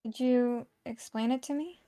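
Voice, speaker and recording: A woman's voice: a little high, steady tone, moderate pace, dark tone, no room sound, close to an everyday voice. A woman voicing an earnest, stubborn, easily flustered young heroine of a dating sim, speaking emphatically.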